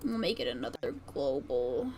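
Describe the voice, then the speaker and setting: A woman's voice: sits low, sing-song, moderate pace, warm tone. A woman livestreaming as she works, thinking aloud casually and often trailing off mid-thought. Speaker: streamer. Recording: faint background noise, keyboard typing and clicking. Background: computer keyboard typing and mouse clicks.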